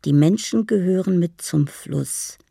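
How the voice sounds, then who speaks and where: An actress playing a dreamy, poetic woman in a radio drama monologue, musing in a gravelly voice over music. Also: lowish voice, unhurried, mellow, slight background noise. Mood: tender musing. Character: dreamy, poetic woman living in her imagination.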